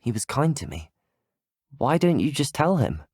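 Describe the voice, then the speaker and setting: An actor playing a polite, earnest teenage student wizard in a video game, with a British accent and courteous, articulate speech. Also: male voice, mid-range voice, very animated, normal speed, darkish tone, husky, dry recording, clean studio sound. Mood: inquisitive, courteous. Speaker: video game player character. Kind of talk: scripted game dialogue.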